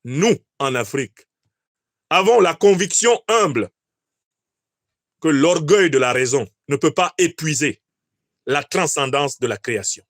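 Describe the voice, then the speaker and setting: A man giving an oratorical political commentary, declamatory, with emphatic pauses and rhetorical questions. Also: on the high side, animated, a bit bright, dry recording, clean studio sound. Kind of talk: oratorical analysis of a speech.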